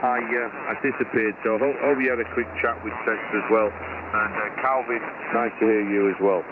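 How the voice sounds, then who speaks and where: An Australian man chatting casually as an amateur radio operator, rambling a little and pausing, over a radio transmission. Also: fairly low pitch, fairly even intonation. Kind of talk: casual ham radio chat. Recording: narrow radio signal, loud static noise. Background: loud radio static and hum.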